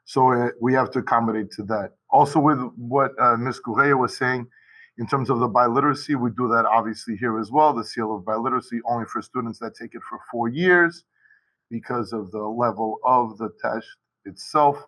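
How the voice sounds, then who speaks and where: A man who teaches high school Portuguese, talking casually and at length on a video call from his school, with many restarts and asides. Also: a little fast, fairly bright tone, poor audio.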